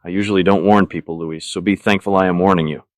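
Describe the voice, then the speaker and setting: A man's voice: low-pitched, fairly even intonation, quick pace, no room sound, studio quality, lightly acted. Man acting a menacing, exasperated snob. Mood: snarling menace. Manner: tense, controlled, hissing and snarling. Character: menacing, exasperated, vain young man hissing threats.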